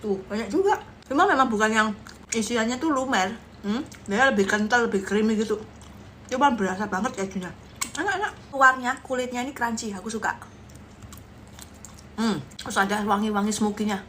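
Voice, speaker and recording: A woman's voice: fairly high pitch, a little fast, a bit bright, slight background noise. A woman chats casually as she eats and reviews food, humming and murmuring between bites. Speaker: food reviewer in short social videos. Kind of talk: casual mukbang review chat while eating.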